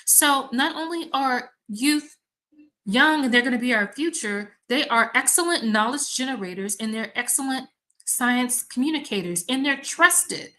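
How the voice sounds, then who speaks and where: Woman academic from Mississippi, bright and forceful, lively conversational storyteller. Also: sits high, moderate pace, slight background noise. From Mississippi, USA. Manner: animated storyteller, acts out exchanges. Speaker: academic researcher. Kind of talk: conversational panel discussion.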